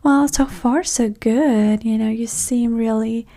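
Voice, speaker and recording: A woman doing a soft-spoken, often whispered ASMR roleplay as a vain, flirty date with a breathy, sassy, condescending manner. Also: fairly high pitch, fairly even intonation, slow pace.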